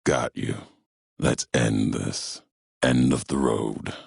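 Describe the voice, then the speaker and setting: An extremely deep, heavily gravelled voice for a grim, vengeful wasteland wanderer in a video game. He speaks slowly and solemnly, in terse, cryptic pronouncements, like a brooding philosopher set on revenge. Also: steady tone, darkish tone, no room sound, clean studio sound, heavily acted. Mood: cold, challenging. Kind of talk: video game character dialogue.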